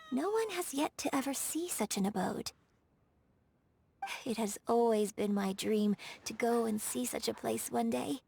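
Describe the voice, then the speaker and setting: Refined, high-voiced young opera performer. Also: female voice, vocal fry, darkish tone. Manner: formal, polite, carefully enunciated. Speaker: video game character voice. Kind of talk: scripted game character dialogue.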